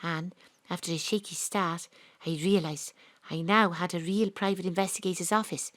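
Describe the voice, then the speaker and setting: A Welsh woman narrates a comic radio drama in a wry, brash, deadpan way. She sits close on a studio mic in a home studio, and the sound is dry with no room echo. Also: fairly low pitch, normal speed. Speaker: narrator and lead actor. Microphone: studio mic over a live internet link.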